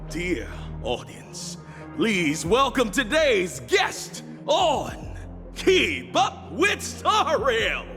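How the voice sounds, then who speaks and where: An actor playing a showy, glib bird who hosts a show, with theatrical, drawn-out announcing and chuckles in a game. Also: male voice, extremely high pitch, huge pitch swings, bright, forceful tone, full character voice. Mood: grand, showy. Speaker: talk show host. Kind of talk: game voice acting, show hosting. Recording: long reverb, faint music behind.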